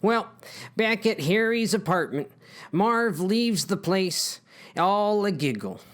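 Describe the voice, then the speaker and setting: Animated, mock-dramatic male storyteller. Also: sits high, slow pace. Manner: mock-dramatic, conversational asides. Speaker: writer and narrator of a comic story. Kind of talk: comic, informal dramatic storytelling.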